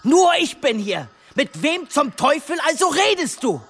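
A man's voice: very high voice, sing-song, unhurried, a bit bright, full character voice. An actor playing a blunt, defiant young working-class man in love in a German radio drama. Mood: angry, mocking.

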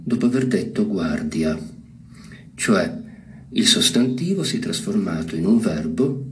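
Slow, low-voiced man, reflective. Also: darkish tone. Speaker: literary commentator. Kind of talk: informal spoken literary commentary.

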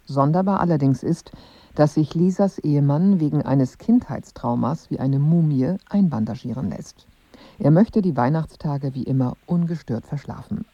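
Very dark-voiced, measured radio announcer. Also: medium pitch, dry recording, lo-fi sound. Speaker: radio announcer introducing a drama. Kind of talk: scripted narration, measured.

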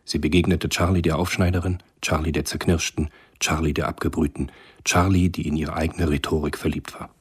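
A man narrating a literary radio play in a measured, sombre manner. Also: very deep voice, little pitch movement, slightly rough.

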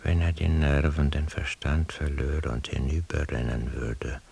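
An actor playing a detached, dreamy man thinking to himself in a hushed, brooding literary radio monologue. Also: very deep voice, flat delivery, creaky, full character voice. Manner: hushed, slow, brooding, often sinking toward a whisper. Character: detached, dreamy man lost in his solitary inner thoughts. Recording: faint tape hiss.

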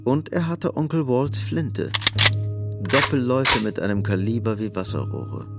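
A man's voice: medium pitch, lively intonation, unhurried, gravelly, over loud music. A man narrating a scripted German radio drama.

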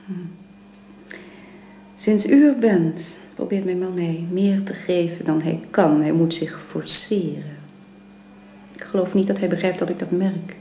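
A woman's voice: lowish voice, a little slow, long pauses, mellow, roomy sound, a little hiss or hum. A woman acting in a radio drama as a bedridden, ailing young wife, bitter and weary, with a pointed way of talking. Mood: bitter, resigned.

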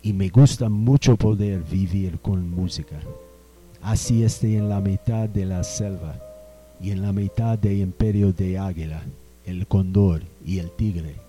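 A man playing a refined, musical old gentleman from the 1800s in a radio drama, speaking in a slow, reflective storytelling way. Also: deep voice, steady tone. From Colombia. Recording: music bed under the voice.

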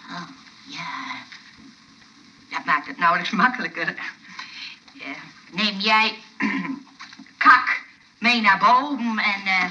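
A woman's voice: medium pitch, extremely slow, long pauses, pushed and bright, dull audio, heavily acted. A fussy, hospitable rest-home hostess in a radio play. She chatters and hesitates, sounding flustered, a little away from the microphone. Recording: slightly noisy, distant radio play recording.

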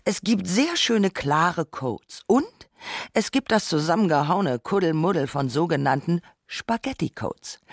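Gravelly woman reading a radio essay. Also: low-pitched, no echo, studio quality. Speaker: radio play performer. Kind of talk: scripted literary radio essay, dramatic reading.

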